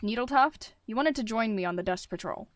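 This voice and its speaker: A woman's voice: a little high, expressive, brisk, dry recording, close to an everyday voice. Woman playing a snarling hot-tempered male cat warrior. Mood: curt, commanding. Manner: snarling, yelling, commanding.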